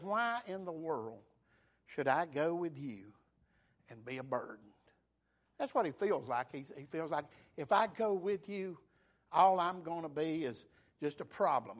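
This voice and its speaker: A man's voice: a little high, a bit bright. A man preaching a sermon, retelling a scripture story in everyday words and calling out for amen. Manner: calls for amen, dialect grammar, voices the scripture figures.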